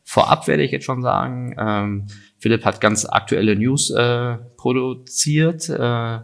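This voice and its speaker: A man's voice: medium pitch, unhurried, vocal fry. A man hosting a podcast, chatting informally and with enthusiasm in an interview. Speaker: podcast host. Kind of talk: conversational interview.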